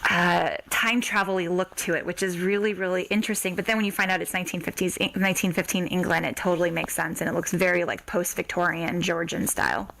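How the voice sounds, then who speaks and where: A woman's voice: lowish voice, steady tone, quick pace, gravelly, hardly any pauses, a bit bright, slight background noise, low-quality recording. A woman hosting a podcast interview, chatting in a gushing, giggly, enthusiastic way. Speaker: podcast host interviewing an author.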